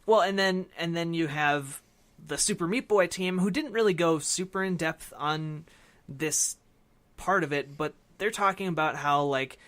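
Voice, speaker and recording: A man's voice: on the high side, measured pace, fairly bright tone. A man co-hosting a casual podcast discussion, feeling out his phrases with lots of "like". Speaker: podcast co-host. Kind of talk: casual discussion of a film and games.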